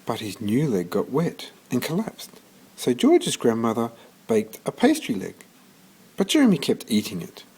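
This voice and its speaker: Guy reading a kids book out loud, voice a bit raspy, pitch moves around a lot, very storyteller. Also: normal speed, poor audio. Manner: expressive storytelling with occasional stumbles and restarts. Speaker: storybook reader. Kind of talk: reading a children's story aloud.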